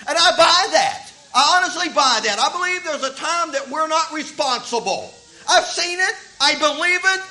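Man, fifties, preaching, folksy, stumbles on his first words, very high voice, bright. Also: animated, normal speed, rough recording. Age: 50s. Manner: folksy, repeats words when starting a thought. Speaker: pastor. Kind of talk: sermon.

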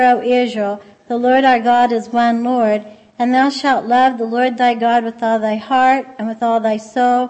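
A soft-spoken woman speaks to a congregation in a gentle, conversational way. Her voice sits a little high, and she now and then stops to restart a thought. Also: poor audio. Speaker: church speaker. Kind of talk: informal sermon.

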